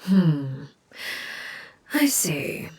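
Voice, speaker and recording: A woman voicing a composed, secretive leader in a video game, menacingly polite, with a measured, aloof and formal delivery. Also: low voice, big pitch swings, a little mellow, slight background noise, heavily acted. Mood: cool, probing. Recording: faint music under the voice.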